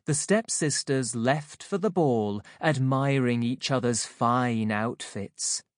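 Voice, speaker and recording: A woman narrating a children's audiobook from a script, in a measured, expressive, clearly phrased way. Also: extremely low pitch, no echo, clean studio sound.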